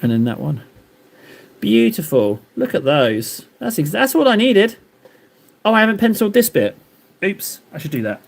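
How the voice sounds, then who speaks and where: A man's voice: high-pitched, very animated, moderate pace, gravelly, long pauses. A man live-streaming while he paints, rambling and joking as he banters with his chat.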